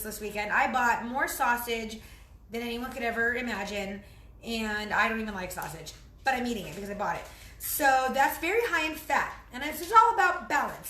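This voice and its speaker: A woman fitness trainer chatting casually through a home cooking vlog, hoarse from losing her voice, rambling and clearing her throat. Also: high voice, bright, forceful tone, echoey room. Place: home kitchen.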